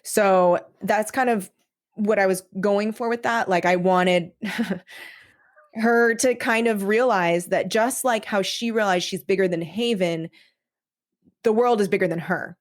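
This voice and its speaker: A woman talking casually as a podcast guest, explaining her creative choices in an animated, conversational way and voicing imagined reactions. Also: mid-range voice, measured pace. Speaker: guest, audio drama creator.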